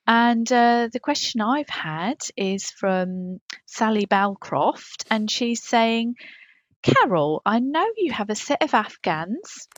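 A woman's voice: a little high, animated, slow, no echo, lo-fi sound. A British woman playing a chatty pop singer, rambling matter-of-factly as a podcast host. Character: chatty dog-owning pop singer answering fan questions. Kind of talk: comic in-character advice segment.

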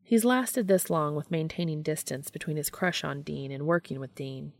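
A woman's voice: low-pitched, normal speed, gravelly, no echo. A woman narrating a scripted piece of fiction as a story reader.

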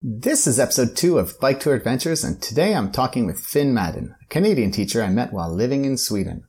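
A man hosting a cycling podcast, reading a relaxed intro and then chatting easily with his guest. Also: medium pitch, fast talker, breathy edge. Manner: friendly and easygoing, with short backchannel replies. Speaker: podcast host. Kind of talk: podcast interview.

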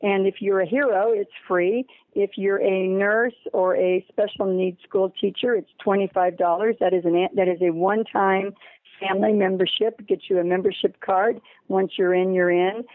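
Female charity spokesperson explaining in an interview, medium pitch, thin phone-quality sound. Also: steady tone, moderate pace, no echo. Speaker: interview guest, charity representative. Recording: narrow phone-line sound.

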